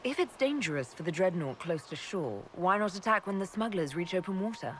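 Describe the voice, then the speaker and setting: A woman plays an elf mage commanding a fantasy army in a video game. Her voice is on the low side, composed and assured, with faint noise behind it. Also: expressive, brisk, natural voice.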